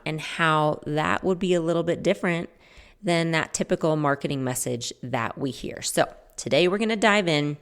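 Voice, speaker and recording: A woman in her forties with a notably low-pitched voice, talking through a solo podcast episode. Her delivery is chatty and loose, wandering between thoughts and correcting herself as she goes. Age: 40s. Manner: chatty, rambling, corrects herself mid-sentence. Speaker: podcast host. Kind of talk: casual solo podcast talk.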